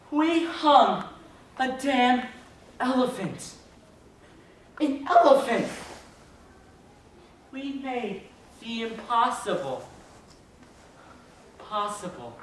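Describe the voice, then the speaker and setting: A woman on stage playing an excitable townsperson in a readers theater drama. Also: sits high, exaggerated voice. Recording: very echoey hall from far off.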